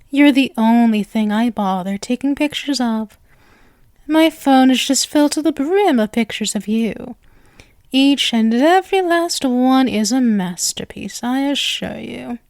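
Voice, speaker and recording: A woman acts out a sweet yandere witch for an ASMR roleplay. Her voice sits fairly high and moves very slowly, coy and doting with a playful menace underneath. The sound is dry and close, free of room echo. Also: a little mellow. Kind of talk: ASMR roleplay, scripted acting.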